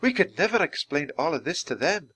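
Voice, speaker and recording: Man voicing a calm, high-voiced young enchantress. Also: breathy edge, heavily acted, rough recording. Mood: calm, kindly. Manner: measured, gently authoritative. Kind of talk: children's audiobook character voice.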